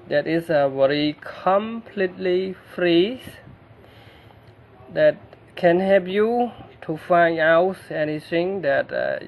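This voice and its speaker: A man from Cambodia, a native Khmer speaker with a Khmer accent, narrating a screen-recorded tech tutorial in halting English with many fillers and restarts. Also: fairly high pitch, creaky, slight background noise.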